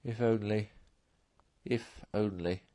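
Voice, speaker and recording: A man's voice: fairly low pitch, steady tone, slow pace, dry recording. A man voicing a sceptical but kindly water rat, a loyal storybook friend. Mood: dubious. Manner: dry, doubtful, gently chiding.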